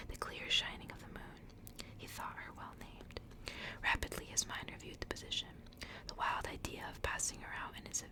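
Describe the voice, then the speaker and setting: A woman reads a horror story in a soft, breathy ASMR whisper, narrating like a storybook. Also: low-quality recording. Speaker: ASMR reader.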